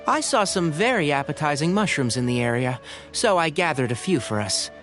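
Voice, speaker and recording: A gentle young ranger from a video game. His fairly high voice is expressive, polite and articulate, with faint music underneath. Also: brisk. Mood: friendly. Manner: courteous, articulate.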